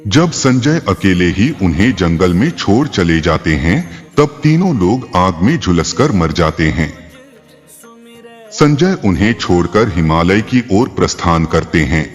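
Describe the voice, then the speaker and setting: A man's voice: deep voice, big pitch swings, unhurried. A man narrating scripted mythological tales in a formal, dramatic storytelling style. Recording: long reverb, faint music behind. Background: faint background music.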